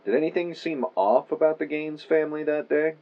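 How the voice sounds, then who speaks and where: A man's voice: a little high, muffled recording, close to an everyday voice. An actor playing an earnest, inquisitive podcaster in his thirties in an audio drama, with a theatrical bent. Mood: inquisitive, polite. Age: 30s. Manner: measured, theatrical when narrating. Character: earnest 32-year-old male podcaster, inquisitive, theatrical narrator. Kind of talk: audio drama, moody scripted narration and interviewing.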